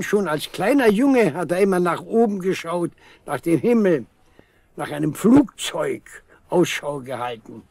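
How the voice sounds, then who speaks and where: A man's voice: on the high side, vocal fry, darkish tone, breathy edge, dry recording, exaggerated voice. An actor playing a weary, proud old village father in a 1970s German radio play, speaking hesitantly and plainly. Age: older. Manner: hesitant, halting, plain-spoken.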